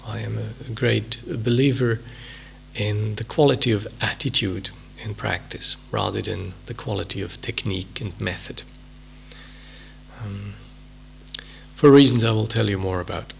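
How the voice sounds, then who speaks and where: A man with a Swiss accent giving an unhurried, reflective talk as a meditation teacher, with many pauses and fillers. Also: on the low side, gravelly, a little hiss or hum. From Switzerland. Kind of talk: dharma talk at a retreat.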